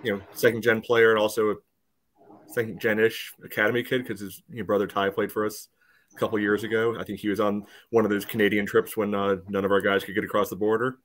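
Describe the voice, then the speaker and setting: An American man giving his view casually on a soccer podcast, measured and peppering it with small conversational hedges. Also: on the low side, level tone, a bit bright. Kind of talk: casual sports podcast discussion.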